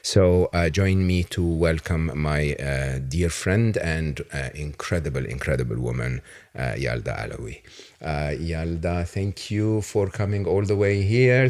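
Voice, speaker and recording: A man with an extremely deep, low voice hosts a casual podcast interview. He speaks haltingly, with many "uh"s and restarts. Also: a little mellow. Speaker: podcast host.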